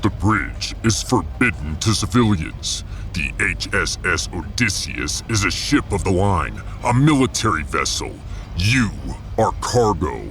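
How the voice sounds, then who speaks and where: A man playing a huge, proud, battle-loving warrior officer in a sci-fi audio drama, gravelly and pompously formal. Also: sing-song, measured pace, a bit bright, faint music behind, full character voice. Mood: commanding, proud. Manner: booming, pompous, formal declarations.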